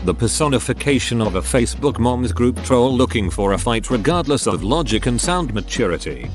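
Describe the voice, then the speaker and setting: A man reading forum posts aloud as a narrator, even and methodical, spelling out abbreviations letter by letter. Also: mid-range voice, brisk. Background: soft background music.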